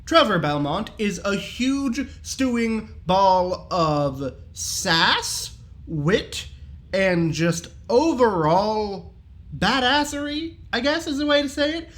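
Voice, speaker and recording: A man giving a casual, enthusiastic review in an animated, jokey, slangy way. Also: sits high, slow.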